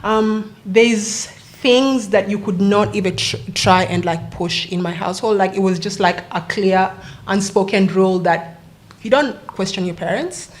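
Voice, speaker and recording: A woman, telling her life story as a podcast guest in a reflective way, with frequent pauses and fillers. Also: mid-range voice. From Kenya. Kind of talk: casual interview storytelling.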